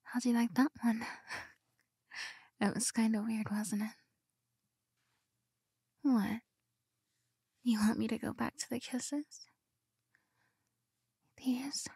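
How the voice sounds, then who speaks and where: A woman's voice: on the high side, steady tone, slow, gravelly, pauses often, warm tone, slightly rough, no echo. A young woman whispering close to the mic in an ASMR roleplay, giggly and affectionate. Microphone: close binaural mic.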